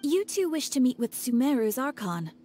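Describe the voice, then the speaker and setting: A woman voicing a courteous, composed guild receptionist in a video game, speaking in a formal, measured, scripted way. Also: sits high, big pitch swings, warm tone. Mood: formal apologetic. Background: music.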